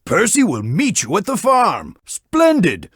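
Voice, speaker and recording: A man voicing a portly, kindly older male railway boss who gives brisk, encouraging instructions in a game. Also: sits high, wildly swooping pitch, a bit bright, breathy edge, dry recording, clean studio sound, full character voice. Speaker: game guide giving instructions. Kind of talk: studio game voice-over. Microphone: studio microphone.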